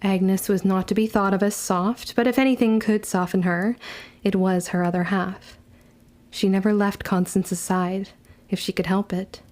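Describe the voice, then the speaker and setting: An actress playing a young orphan witch who speaks in formal, measured, old-fashioned words, wry, as in period audio drama narration. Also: medium pitch, fairly even intonation, a little mellow, natural voice. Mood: wry reminiscence.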